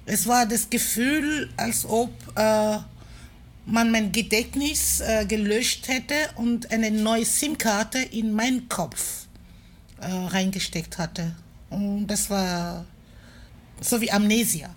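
Slow, hesitant woman from Cameroon. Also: medium pitch, fairly even intonation, slight background noise. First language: a Cameroonian language. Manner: thoughtful, hesitant, many pauses and fillers. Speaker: interviewee, performer and activist. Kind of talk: recorded interview.

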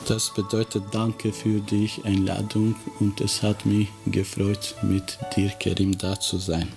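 A middle-aged man from Kosovo, a teacher whose first language is Albanian, speaking German with an Albanian accent and answering interview questions in a slow, measured way with careful pauses. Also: fairly low pitch, level tone, gravelly, music in the background. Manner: slow, measured, pausing speech with careful phrasing.

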